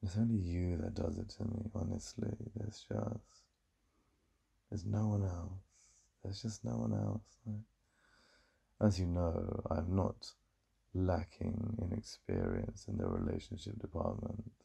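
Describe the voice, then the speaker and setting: A British man playing a besotted, yearning boyfriend in an intimate ASMR roleplay, confiding in a breathy murmur. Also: expressive, measured pace, mellow, heavily acted. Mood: earnest, longing. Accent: British English. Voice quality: deep, breathy, gravelly.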